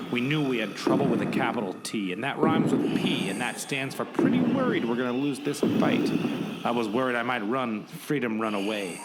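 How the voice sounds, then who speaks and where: A man's voice: medium pitch, heavy background noise. A man narrating a mock-epic comic radio play in a theatrical, melodramatic way. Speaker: podcast host and narrator.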